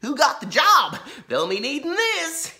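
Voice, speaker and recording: A man's voice: very high-pitched, huge pitch swings, a little slow, sharp tone, voice pushed up, full character voice. A man doing a stammering, timid sheriff dad. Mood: chuckling. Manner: stammering, nervous, giggly. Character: timid stuttering small-town sheriff father. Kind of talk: storybook read-aloud.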